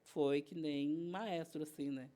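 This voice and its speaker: Warm-voiced southern Brazilian woman. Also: low-pitched, fairly even intonation, a little slow. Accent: southern Brazilian Portuguese. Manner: chatty, retells events by acting out dialogue. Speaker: guest chef. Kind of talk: casual interview storytelling.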